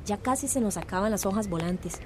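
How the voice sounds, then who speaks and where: A woman's voice: mid-range voice, fairly quick, background noise, lightly acted. An actress playing a friendly young woman volunteer handing out recycling flyers in a radio drama. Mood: upbeat.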